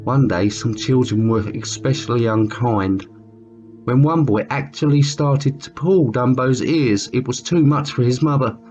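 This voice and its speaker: A man reading a children's story aloud as a storyteller, with theatrical, drawn-out emphasis. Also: mid-range voice, a little mellow. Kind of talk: expressive read-aloud storytelling. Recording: muffled lo-fi sound with music behind. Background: music.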